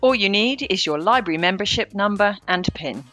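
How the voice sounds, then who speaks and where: A woman's voice: medium pitch, expressive, brisk, fairly bright tone, faint music behind. A British woman co-hosting a podcast in a chatty but scripted presenting style. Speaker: podcast co-host.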